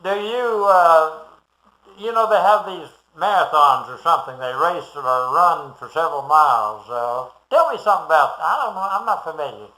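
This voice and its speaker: A man's voice: fairly high pitch, lively intonation, moderate pace, bright, forceful tone, reverberant, rough recording. Folksy chatty male talk show host. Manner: folksy, chatty, hesitant with fillers. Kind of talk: casual interview.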